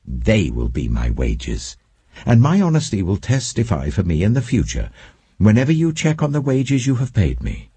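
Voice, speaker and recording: A man's voice: lowish voice, wildly swooping pitch, normal speed, warm tone, husky, dry recording, voice pushed up, heavily acted. A British man voicing an earnest, firm herdsman in a scripture story, pleading his case emphatically. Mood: firm, persuasive.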